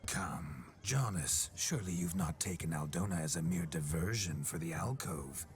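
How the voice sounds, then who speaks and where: A man's voice: low-pitched, animated, moderate pace. A rakish, boisterous nobleman spirit. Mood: jovial. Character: brash, rakish nobleman's spirit possessing a man. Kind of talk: video game cutscene dialogue. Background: music.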